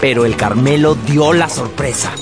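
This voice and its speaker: A man acting as a grown son who narrates his family's story in measured, literary radio style. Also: mid-range voice, lightly acted. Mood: storytelling. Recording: music under narration.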